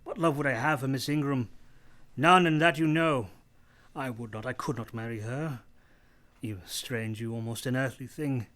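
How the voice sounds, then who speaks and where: Actor as brooding gentleman, medium-pitched male voice, commanding, teasing, formal theatrical diction, radio drama. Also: normal speed, natural voice. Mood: fervent. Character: brooding, teasing gentleman master, passionately in love. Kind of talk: radio play acting.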